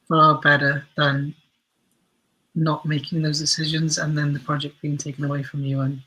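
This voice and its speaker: Higher-pitched man, husky and even-toned. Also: low-quality recording. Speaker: interview guest, writer for audio and screen. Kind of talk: conversational interview answers.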